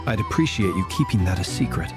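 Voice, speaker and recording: An actor playing an unruffled, secretive gentleman warrior with a polite, formal, understated way of speaking. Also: lowish voice, animated, fast talker, lightly acted. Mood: polite, guarded. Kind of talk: English game dub acting. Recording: music behind the voice.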